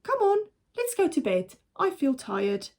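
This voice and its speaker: A woman's voice: high-pitched, wildly swooping pitch, a little slow, a little mellow, pitched above the performer's own voice, full character voice. A woman voicing a blustering, irritable hunter in a children's storybook, exclaiming indignantly. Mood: uneasy.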